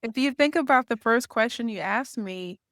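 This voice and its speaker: A woman's voice: on the high side, normal speed, a bit bright, no room sound, studio quality. A woman guest on a podcast interview, explaining her points step by step and checking in with "right?". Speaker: podcast guest, marketing association founder. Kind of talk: conversational interview.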